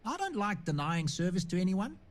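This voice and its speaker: Plain-spoken game shopkeeper, highish lively voice, folksy and a bit wistful. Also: male voice, a little fast. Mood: regretful, firm. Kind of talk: video game character dialogue.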